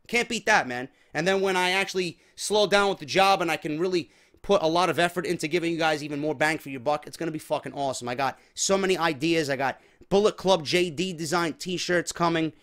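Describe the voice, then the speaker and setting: A man's voice: fairly high pitch, fairly quick, a bit bright. A man with a New York accent hosting a podcast, chatting casually to viewers in an informal, emphatic, sweary way. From New York, USA. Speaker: podcast host.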